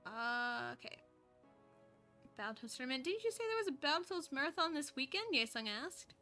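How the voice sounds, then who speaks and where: A woman's voice: high-pitched, animated, normal speed, pushed and bright. A woman streamer reading a story aloud in a playful, giggly way, with casual asides. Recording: music behind the voice.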